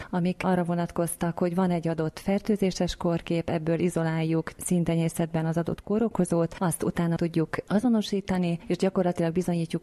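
A woman's voice: fairly low pitch, dark tone. A woman speaking as an expert guest in an interview, explaining in detail and with emphasis.

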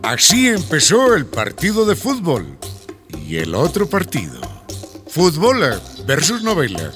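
A man narrating a scripted radio drama as a lively storyteller. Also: fairly high pitch, measured pace. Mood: dramatic storytelling. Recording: music behind the voice. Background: music.

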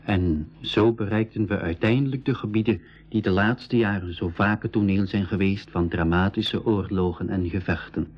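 Low-voiced male storyteller of travel adventures. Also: fairly even intonation, lightly acted. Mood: measured, reflective. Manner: measured storytelling. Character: boy narrator recounting his travel adventures, measured and reflective. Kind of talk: scripted narration in a school radio drama. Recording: dull 1980s radio recording.

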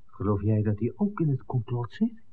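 A man's voice: medium pitch, very animated, moderate pace, a little hiss or hum, close to an everyday voice. An actor playing a wry, hesitant cameraman in a 1960s Dutch radio drama. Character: Mark, wry hesitant cameraman hiding in a church. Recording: thin, phone-like old radio recording.

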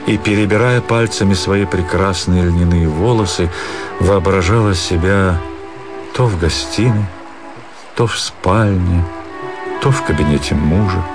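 Casting: male narrator, deep voice, measured literary storytelling for radio, music underneath.

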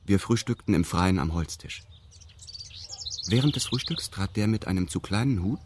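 An actor playing a detached male narrator in a radio drama, speaking in a formal, meticulous, deadpan manner. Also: sits low, normal speed, background noise. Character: detached male narrator recounting a weekend with pedantic precision. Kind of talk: scripted first-person radio drama narration.

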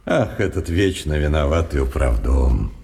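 A man's voice: very deep voice, sing-song, measured pace, gravelly, a little mellow, heavily acted. A man playing a nervy, talkative Soviet lawyer in a wartime radio drama, speaking with theatrical, wordy irony. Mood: bitter irony. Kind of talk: radio play acting.

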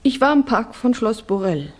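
An actress playing a refined, earnest young Russian woman in grief, speaking haltingly in a 1960s German radio drama. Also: a little high, big pitch swings, darkish tone. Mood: grieving, halting. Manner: halting, formal, intense.

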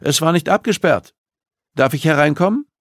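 A man voicing a female police psychologist who is polite, persistent and gently probing. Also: a little high, lively intonation, normal speed, dry recording, clean studio sound, voice pushed up. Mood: polite, curious.

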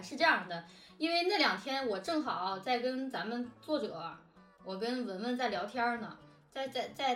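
Woman, higher-pitched, chatty behind-the-scenes explainer, distant roomy mic with music behind. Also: a bit bright. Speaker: audio drama production team member and lyricist. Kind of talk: casual behind-the-scenes chat.

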